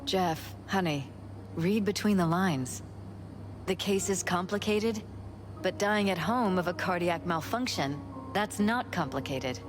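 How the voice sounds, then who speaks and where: A poised political wife in a cinematic game, with a lowish voice and deliberate, polite phrasing. She is cautious and quietly controlling. Music is clearly audible behind her. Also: expressive. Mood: cautious, insistent. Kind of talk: cinematic video game acting.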